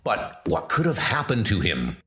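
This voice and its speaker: A man narrating a comic book in a dramatic, slow and emphatic way. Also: lowish voice, huge pitch swings, bright, forceful tone, slightly rough.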